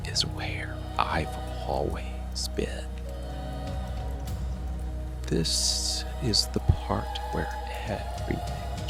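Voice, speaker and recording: Man acting a slow, gravelly, whispering seductive monster. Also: exaggerated voice. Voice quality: breathy, whispery, gravelly low murmur. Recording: rain and music behind close voice. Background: soft music and rainfall.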